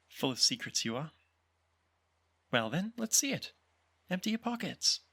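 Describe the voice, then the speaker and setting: A man voicing a brisk, teasing mother in an audio roleplay, talking quickly and playfully. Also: a little high, husky, full character voice. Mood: teasing, amazed. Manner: quick, teasing, lively questioning.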